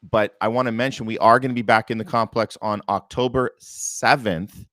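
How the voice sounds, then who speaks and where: An American man with a lowish voice hosts a casual arts community show. He talks in an informal, enthusiastic way, and the sound is close and dry. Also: fairly even intonation, normal speed, a bit bright, no echo. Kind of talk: casual chat with announcements.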